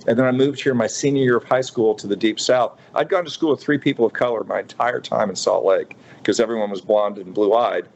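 A man in his fifties from Utah, a restaurateur speaking as a guest in a radio interview, thoughtful and halting with many fillers and restarts. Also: on the low side, creaky, darkish tone, lo-fi sound, a little hiss or hum. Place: radio studio.

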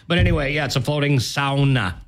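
A man's voice: medium pitch, quick pace, noisy background. A man with an American accent co-hosting a morning radio show, chatting casually in a rambling way and often correcting himself mid-sentence. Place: radio studio.

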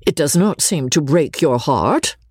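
A woman acts out a rich old lady from a storybook. She is haughty and scornful, with highly animated, imperious delivery. The tone is indignant and a little husky. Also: measured pace, dry recording, clean studio sound, full character voice. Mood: bewildered.

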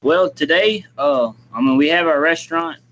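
A young man in his twenties talks in a relaxed, easygoing way and often starts his phrases over. His voice sounds a little muffled, with faint noise underneath. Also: a little high, moderate pace. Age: 20s. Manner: laid-back, restarts phrases, polite "yes, sir". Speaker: guest, young business owner. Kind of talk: casual interview answers.

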